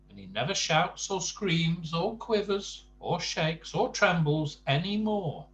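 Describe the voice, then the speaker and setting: An older man reading a bedtime story to grandchildren, expressive, with chatty asides and questions to the young listener. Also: a little high, muffled recording, a little hiss or hum.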